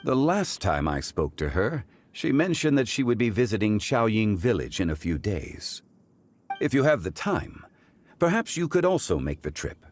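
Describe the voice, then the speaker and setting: A man voicing a calm, learned, formal gentleman in a video game. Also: lowish voice, moderate pace, slight background noise. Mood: courteous. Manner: formal, refined. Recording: faint music behind.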